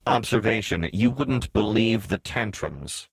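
A man's voice: lowish voice, animated, unhurried, husky, no room sound, pitched above the performer's own voice, full character voice. A man voicing a smug, sardonic little droid in a story, in a clipped, formal, robotic way. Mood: wry amusement. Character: smug, sardonic little astromech droid, read as translated text.

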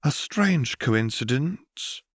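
A man voicing a formal, unapologetic counselor of a secretive order, soft-spoken and officious, in a story reading. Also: medium pitch, animated, very slow, slightly rough, no room sound, clean studio sound, pitched above the performer's own voice, heavily acted. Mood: cool, official. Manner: stiff, officious.

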